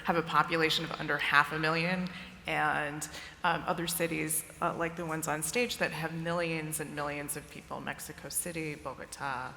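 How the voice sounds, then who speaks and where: A woman's voice: deep voice, little pitch movement, normal speed, bright, forceful tone, slight background noise. A woman speaking as a panelist in a conversational way with many fillers. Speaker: panelist, digital director. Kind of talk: conference panel talk. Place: large conference stage. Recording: roomy long reverb, faint ambience.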